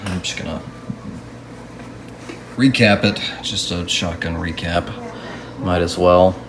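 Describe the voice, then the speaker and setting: A man narrating a casual hands-on restoration video as a hobbyist, musing aloud to himself in an unhurried way. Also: low voice, fairly even intonation, background noise.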